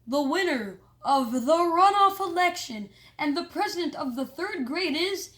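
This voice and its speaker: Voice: very high voice, animated, unhurried, fairly bright tone, voice pushed up, exaggerated voice. A reader as an announcing male school principal.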